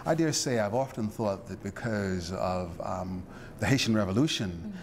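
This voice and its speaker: A man interviewing a guest, speaking in a reflective, halting way with frequent fillers and restarts. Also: on the low side, a little hiss or hum.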